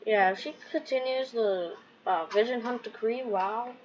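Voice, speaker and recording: A woman's voice: a little high, a little slow, a little mellow. A woman giving casual commentary while playing a game, thinking aloud in a hesitant way. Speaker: gamer streaming a playthrough. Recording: dull lo-fi mic, faint noise.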